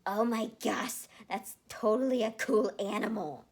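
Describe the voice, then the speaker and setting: A woman's voice: mid-range voice, unhurried, pitched above the performer's own voice. A woman voicing a cocky, enthusiastic boy in an amateur story reading. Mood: amazed. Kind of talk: amateur fanfiction read-aloud. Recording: noticeable background noise.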